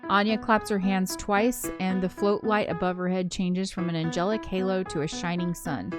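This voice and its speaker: A woman's voice: mid-range voice, fairly even intonation, normal speed. A woman co-hosting a game playthrough, reading visual novel narration aloud and adding casual commentary. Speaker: let's play co-host, narrator. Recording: music playing behind the voice. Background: game soundtrack music.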